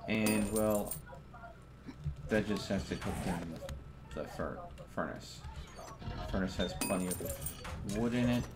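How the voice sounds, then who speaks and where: Low-voiced male game streamer, noisy recording. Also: a little slow, pauses often. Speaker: video game streamer, host. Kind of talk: casual live gaming stream chat.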